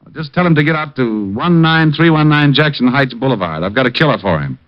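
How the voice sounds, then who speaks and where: An actor playing a hard-boiled, skeptical private eye with a wry, slangy delivery in an old radio noir drama. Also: male voice, mid-range voice, animated, a little fast, natural voice. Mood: wisecracking, urgent. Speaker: private detective lead and narrator. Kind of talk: 1940s radio noir acting and narration. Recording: dull vintage radio recording.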